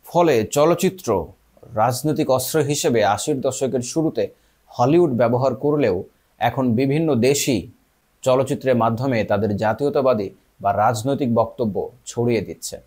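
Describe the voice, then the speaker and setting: A man's voice: medium pitch, normal speed, darkish tone, breathy edge. A man presenting a scripted film analysis, speaking directly to viewers. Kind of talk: scripted narration addressed to viewers.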